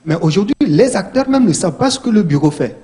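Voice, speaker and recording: A man's voice: high-pitched, sing-song, quick pace, mellow, slightly rough. A man, a panel guest, explaining points in a studio discussion. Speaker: talk show guest.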